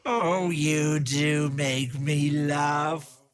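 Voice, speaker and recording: A woman's voice: low voice, steady tone, extremely slow. A woman voicing a wicked old hag who poses as a sweet, folksy auntie in a video game, with cloying endearments and theatrical menace. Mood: folksy, sly. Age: older. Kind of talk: video game character acting.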